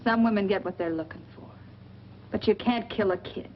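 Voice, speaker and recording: Shrewd film noir dame played by an actress, muffled. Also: female voice, mid-range voice, moderate pace, close to an everyday voice. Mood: alarmed pleading. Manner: knowing, probing, sardonic. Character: shrewd, world-weary woman with a taste for bad men. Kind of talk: 1940s film noir acting. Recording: dull, noisy old film soundtrack.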